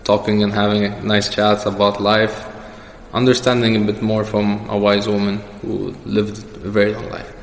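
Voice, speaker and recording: A man's voice: fairly low pitch, completely flat delivery, normal speed, creaky. A young man answering questions as the guest in a casual personal interview. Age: young adult. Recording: echoey reverberant room with background noise.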